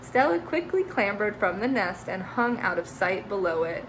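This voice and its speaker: A woman teacher reading a children's picture book aloud, expressive, with chatty asides to young listeners. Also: medium pitch, moderate pace, background noise.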